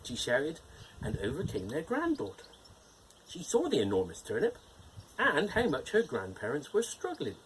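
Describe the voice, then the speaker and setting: A cheerful, lively gnome storyteller. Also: male voice, fairly high pitch, normal speed, a little hiss or hum, poor audio. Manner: animated, playful storytelling with teasing jokes. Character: cheerful male gnome storyteller, friendly and playful. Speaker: storyteller host. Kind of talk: bedtime fairytale reading.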